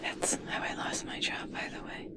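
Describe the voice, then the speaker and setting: A woman playing an obsessed, eccentric scientist in an ASMR roleplay, speaking intimately in a breathy whisper. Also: close to an everyday voice. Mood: defensive, eager. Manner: slow, intimate, wistful storytelling. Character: obsessed, eccentric female human scientist fascinated by merpeople.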